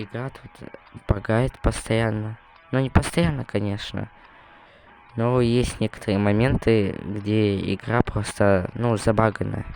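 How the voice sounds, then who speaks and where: A man's voice: lowish voice, gravelly, a little mellow, slight background noise, low-quality recording. A young man casually commenting on his gameplay, rambling and correcting himself as he talks to viewers, recovering from a cough. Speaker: gaming video creator.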